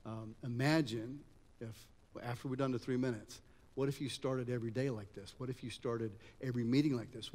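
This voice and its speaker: A man's voice: mid-range voice, a little mellow. Middle-aged man, American-tinged accent, echoey hall. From Germany. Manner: conversational, addresses audience with rhetorical questions. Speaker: conference speaker. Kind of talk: informal motivational keynote talk. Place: event hall at a conference campus. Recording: echoey hall, long reverb.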